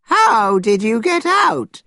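A man voicing a brash, proud, hot-tempered monkey king for a storybook, with an emphatic, cocky, drawn-out delivery. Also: a little high, fairly bright tone, no echo, studio quality, pitched above the performer's own voice. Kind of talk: storybook character voice.

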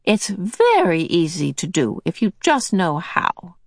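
A woman's voice: lowish voice, wildly swooping pitch, no echo, studio quality, heavily acted. A woman voicing a cheerful, motherly old otherworldly female being who soothes and fusses. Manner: bright and soothing, brisk.

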